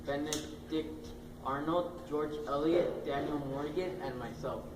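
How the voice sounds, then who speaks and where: Man as a stately early president, plain recital, heard far off in an echoey room with loud noise. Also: a little high, measured pace, close to an everyday voice. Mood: earnest. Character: dignified founding president, older man.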